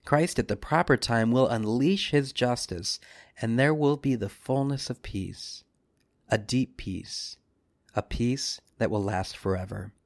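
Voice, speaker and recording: A man speaking as a priest, leading a scripted devotional meditation with measured, solemn phrasing and deliberate pauses. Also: medium pitch, dry recording, clean studio sound.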